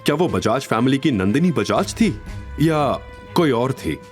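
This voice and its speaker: A man playing a proud, composed tycoon husband with a commanding, deliberate manner, in an audio drama. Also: big pitch swings, soft background music. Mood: calm, commanding. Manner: low-key, commanding, deliberate.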